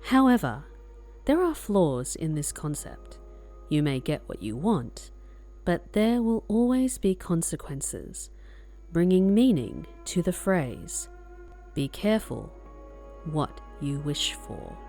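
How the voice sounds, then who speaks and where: A woman's voice: sits low, big pitch swings, takes it slowly, mellow, faint music behind, heavily acted. A woman acting as an ominous storyteller, delivering a scripted horror introduction. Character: ominous storyteller warning of wishes' consequences. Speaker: narrator of horror series intro.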